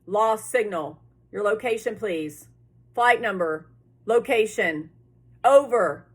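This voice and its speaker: A woman's voice: mid-range voice, expressive, takes it slowly, breathy edge. A woman voicing a firm, businesslike radio operator in an audiobook, with clipped, emphatic procedural phrasing. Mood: urgent, clipped. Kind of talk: audiobook character voice.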